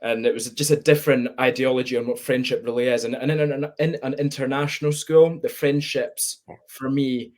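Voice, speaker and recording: A man's voice: mid-range voice, fairly even intonation, a little fast, a little mellow. A nineteen-year-old man from Spain with a Scottish accent, speaking candidly and reflectively as a guest on a webinar video call. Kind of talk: webinar conversation.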